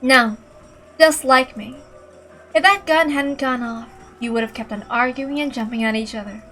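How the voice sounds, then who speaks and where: A woman voicing a calm, calculating female killer, mocking and matter-of-fact, in a visual novel reading. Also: high-pitched, normal speed, soft background music. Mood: cold, reasoning.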